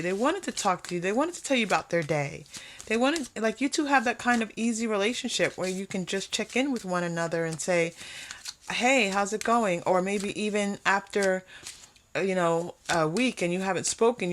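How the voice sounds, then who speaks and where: A woman hosting an unscripted tarot reading, talking conversationally to viewers and often pausing or repeating phrases as thoughts come to her. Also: mid-range voice, a little hiss or hum. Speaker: tarot reader hosting a pick-a-card reading.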